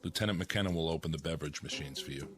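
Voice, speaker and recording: Deep-voiced, commanding general. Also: male voice, fairly bright tone, soft background music. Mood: calm, courteous. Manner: formal, measured authority. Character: commanding, composed, courteous military general. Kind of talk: radio drama acting.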